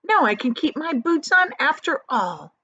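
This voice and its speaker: A woman voicing a brash, bombastic male centipede in a storybook, loudly exhorting. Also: high voice, very animated, a little slow, no room sound, muffled recording, pitched above the performer's own voice, heavily acted. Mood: delighted. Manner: loud, exhorting.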